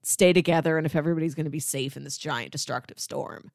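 A woman's voice: low voice, fairly even intonation, fast, darkish tone, no room sound, clean studio sound. A woman co-hosting a podcast, casually retelling a film's story in a conversational way. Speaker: podcast co-host.

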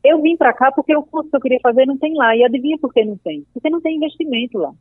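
Young woman w/ paraíba accent, thoughtful, kinda high voice, tinny phone sound. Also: lively intonation, racing, no room sound. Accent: Northeastern Brazilian, Paraíba. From Paraíba, Northeast Brazil. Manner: thoughtful, articulate, builds arguments. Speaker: interview guest. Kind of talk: reflective conversation. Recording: dry tinny phone-like call audio.

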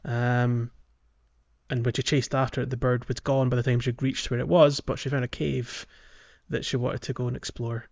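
A man's voice: medium pitch, level tone, moderate pace, vocal fry, darkish tone, lo-fi sound. A man chatting casually as a gaming podcast host, walking through things in a hesitant, explanatory way.

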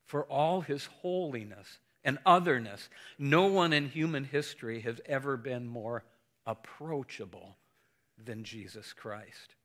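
A man preaching as pastor in a measured, earnest way, then interviewing a guest. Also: medium pitch, fairly bright tone. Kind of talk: sermon and interview.

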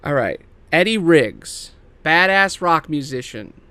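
Higher-voiced man, smooth and emphatic. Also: very animated, slow, sharp tone, no echo. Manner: emphatic and theatrical, swinging into casual asides; casual swearing. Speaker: host pitching video game movie adaptations. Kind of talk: casual, semi-improvised story pitch to camera.

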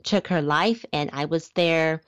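Chatty young-sounding woman, low voice. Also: unhurried, clear, resonant tone, no echo, studio quality. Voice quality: youthful-sounding voice. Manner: chatty, restarts words, laughs often. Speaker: guest, small business founder. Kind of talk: podcast interview, casual storytelling.

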